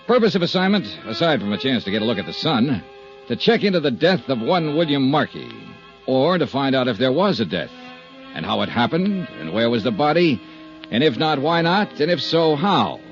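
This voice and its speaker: Male actor as wry American insurance sleuth, 1950s radio drama; lively swings in pitch, clipped delivery, muffled old broadcast sound. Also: moderate pace, soft background music, close to an everyday voice. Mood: wry, businesslike. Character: breezy, wry male freelance insurance investigator. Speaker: lead actor and narrator of radio detective serial. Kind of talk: 1950s radio detective acting. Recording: dull 1950s radio recording.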